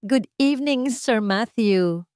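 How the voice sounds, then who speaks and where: A woman acts out a deferential Filipino corporate aide in a radio-style drama. The speech is formal and businesslike, with pitch that swings widely and a dry, close sound. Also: lively intonation, takes it slowly, darkish tone, clear, resonant tone, dry recording. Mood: formal, assured. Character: deferential, businesslike corporate associate reporting to the boss.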